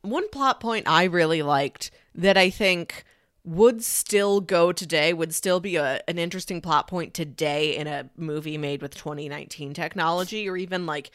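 A woman's voice: lowish voice, moderate pace, no echo, clean studio sound. A woman co-hosting a casual discussion about films, talking in a conversational, informal way. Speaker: film podcast co-host.

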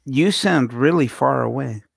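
A man's voice: medium pitch, measured pace, vocal fry. A man co-hosting a radio show in casual conversation. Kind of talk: casual chat.

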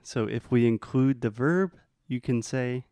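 An American man teaching English in a bilingual lesson, explaining patiently and modeling phrases slowly. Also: medium pitch, warm tone, no room sound, studio quality. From United States.

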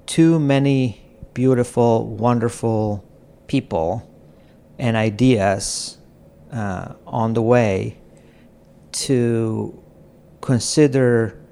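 A middle-aged man from Croatia, a podcast guest speaking slowly and reflectively in an apartment, with halting phrasing, frequent pauses and soft laughs. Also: mid-range voice, fairly even intonation, creaky, smooth. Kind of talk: reflective conversation. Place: apartment in a city.

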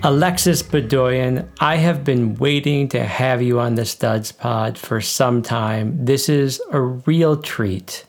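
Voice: mid-range voice, takes it slowly, hardly any pauses, faint music behind. A podcast host with a folksy, colloquial way of talking, presenting and interviewing in a conversational style.

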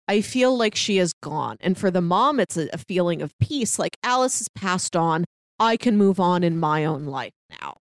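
A woman co-hosting a film discussion podcast, talking casually and reflectively with halting phrasing. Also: on the low side, lively intonation, no echo, studio quality. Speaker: podcast co-host reviewing films.

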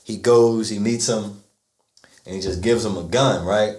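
A man's voice: lowish voice, unhurried, darkish tone. A man guest on a podcast, telling stories in a rambling way with lots of fillers. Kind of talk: casual chat. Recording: echoey room.

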